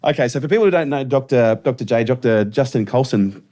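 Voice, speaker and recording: A man's voice: mid-range voice, expressive, warm tone. An Australian man hosting a podcast interview, chatting keenly with his guest. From Brisbane, Australia. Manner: enthusiastic, quick chat with many agreements. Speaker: podcast host.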